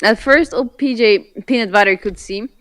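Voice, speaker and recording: A woman's voice: fairly high pitch, moderate pace, fairly bright tone. Female co-reader, informal and giggly, trips over words, curses, mixes story reading with joking asides. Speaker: co-host reading fanfiction. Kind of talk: reading fanfiction aloud with casual joking commentary.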